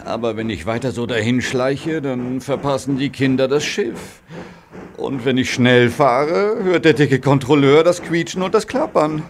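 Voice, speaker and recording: A man's voice: mid-range voice, measured pace, slight background noise, lightly acted. An actor playing a big, proud but aging male express engine who frets and groans to himself in a children's audio drama. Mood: worried. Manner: anxious, groaning and muttering to himself.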